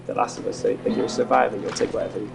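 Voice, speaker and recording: A man's voice: mid-range voice, fairly even intonation, rapid-fire, vocal fry. A man explaining informally in a casual interview as a game designer. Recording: loud guitar music under voice. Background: loud plucked guitar music.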